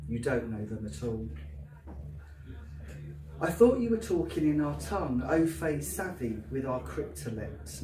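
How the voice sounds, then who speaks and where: A man with a South London Cockney accent giving a live poetry reading, with a chatty, filler-heavy introduction and a rhythmic, emphatic recitation. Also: mid-range voice. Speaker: poet. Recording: roomy, distant, noisy live venue.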